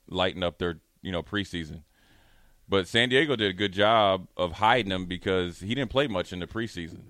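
A man telling casual sports stories as a radio co-host, animated and acting out what people said. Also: deep voice, smooth, dry recording, clean studio sound. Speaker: radio show co-host, former pro football player.

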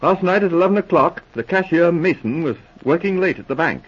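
Animated, excitable policeman, husky. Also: on the high side, a little fast. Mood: earnest reporting. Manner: urgent, emphatic reporting. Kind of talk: 1940s radio acting. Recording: muffled old radio recording.

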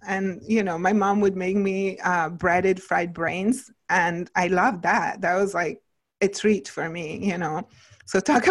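Italian woman nutritionist, middle-aged, casual and rambling interview guest. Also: mid-range voice. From Italy. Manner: chatty, many fillers like "like" and "you know".

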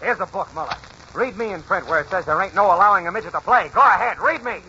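Husky, blustering baseball manager. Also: male voice, high-pitched, fast talker, sharp tone, full character voice. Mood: defiant. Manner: gruff, slangy, bossy. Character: stubborn, blustering male baseball team manager. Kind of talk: 1940s radio comedy-drama acting. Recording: muffled 1940s radio recording.

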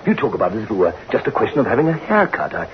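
A man's voice: medium pitch, sing-song, rapid-fire. An actor in a 1960s radio drama playing a weak, nervous husband, hesitant and stammering in his agitation. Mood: hesitant, defensive. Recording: muffled noisy old radio recording.

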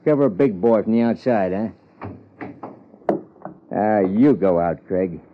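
An actor playing a tough, blunt gangster in a 1950s American radio crime drama, talking in slangy threats with an American accent. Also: male voice, on the low side, lively intonation, unhurried. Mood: threatening. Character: tough, blunt male gangster just out of prison. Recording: muffled, noisy old radio broadcast.

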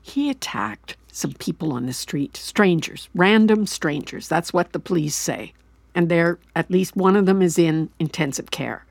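Older mother, anxious, meddling, rambling, animated pitch, breathy edge, audio drama. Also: unhurried, dry recording, exaggerated voice. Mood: troubled, denying. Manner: rambling, earnest, pushy. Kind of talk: naturalistic audio drama acting.

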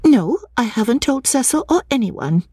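A woman voicing a brisk, decisive young woman acting as hostess, with a husky voice. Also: a little high, big pitch swings, dark tone, no echo, studio quality, voice pushed up.

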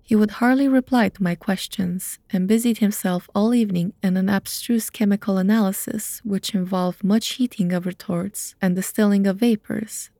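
A woman narrating a scripted detective story in a measured, formal storytelling manner. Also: medium pitch, mellow, dry recording, studio quality.

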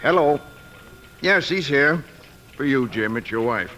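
An actor playing a prying, authoritative bank manager with a brisk, probing manner, in 1950s radio drama style. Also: male voice, mid-range voice, expressive, sharp tone. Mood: brisk, stern. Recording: hissy vintage radio broadcast.

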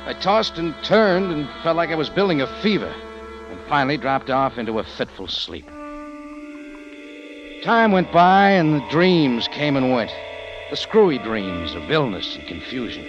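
A man's voice: medium pitch, big pitch swings, moderate pace, close to an everyday voice. A male actor with an American accent playing a tough, weary adventurer narrating his own story in a wry 1940s radio drama style. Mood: weary, brooding. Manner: hard-boiled, wry, dramatic. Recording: dull vintage radio recording, music behind.

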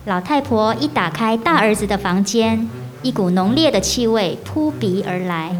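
Woman narrator, radio play, measured storytelling, faint music behind. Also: medium pitch, expressive.

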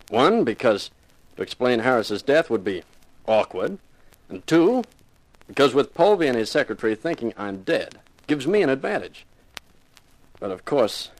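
Hard-boiled male private eye, wry and tough-talking, lively inflection, 1950s radio thriller. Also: medium pitch, rough recording. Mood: determined. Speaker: lead actor and narrator. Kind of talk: 1950s radio detective drama, first-person narration and dialogue.

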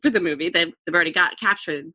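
Wry woman co-host, low yet bright, crisp voice, measured delivery. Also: no room sound. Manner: measured, wry, hesitant restarts. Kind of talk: casual commentary chat.

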